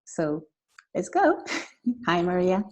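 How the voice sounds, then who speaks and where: A woman's voice: lowish voice, sing-song, slow. Hesitant woman hosting an interview. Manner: friendly, hesitant, with many fillers and small laughs. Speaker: interview host. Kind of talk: casual interview.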